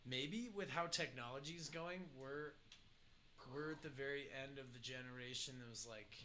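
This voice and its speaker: A man's voice: mid-range voice, normal speed, sharp tone, a little hiss or hum. Profane rambling man in his late twenties. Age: late 20s. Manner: rambling, profane, laughs often. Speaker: player. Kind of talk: casual chat.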